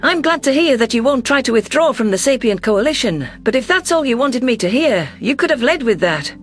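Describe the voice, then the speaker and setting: A performer voicing an earnest, wary deposed alien governor who narrates in a measured, formal, reflective way. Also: medium pitch, sing-song, fairly bright tone, soft background music. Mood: guarded, reluctant. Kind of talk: scripted first-person audio story narration.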